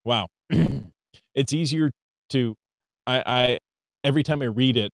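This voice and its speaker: A man's voice: medium pitch, unhurried, no room sound. An American man co-hosting a casual hobby podcast, reading listener emails aloud and stumbling over foreign words. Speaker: podcast co-host.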